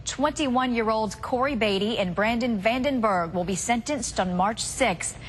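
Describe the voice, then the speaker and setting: Woman, morning news anchor, scripted delivery, higher pitch, faint background noise. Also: unhurried.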